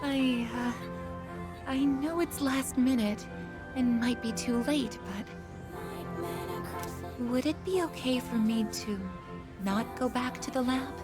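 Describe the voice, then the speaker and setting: Slow, earnest young android girl. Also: high-pitched. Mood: hesitant, earnest. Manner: soft, halting, polite. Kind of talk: video game voice acting. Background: loud game music.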